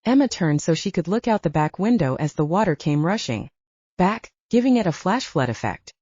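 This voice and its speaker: A woman's voice: on the low side, sing-song, moderate pace, a little mellow, breathy edge, dry recording. A woman reading scripted fiction as an audiobook narrator, in a slightly dull recording.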